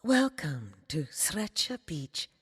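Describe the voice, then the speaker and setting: A woman plays a polished resort announcer in an audio drama, blandly welcoming and official. Her lowish voice rings out with long public-address reverb. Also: big pitch swings, slow pace, fairly bright tone, breathy edge, heavily acted.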